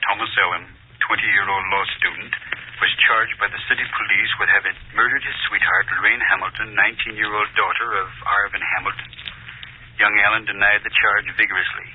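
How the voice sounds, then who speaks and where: An actor playing an impersonal court reporter reading out a formal announcement in a 1940s radio drama. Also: male voice, lowish voice, steady tone, fairly quick, slight background noise, close to an everyday voice. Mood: formal, detached. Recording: muffled, telephone-thin old radio recording.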